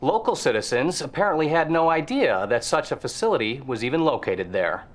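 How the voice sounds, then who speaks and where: An actor playing a serious, brisk television news reporter with formal, projected delivery in a video game. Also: male voice, medium pitch, expressive, lightly acted.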